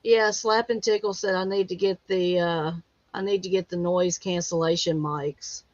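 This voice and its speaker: A woman's voice: on the low side, fairly even intonation, normal speed, dry recording, lo-fi sound. A woman hosting a livestream in a casual, informal way, chatting and reading out comments. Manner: informal, reads out chat comments. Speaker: livestream host.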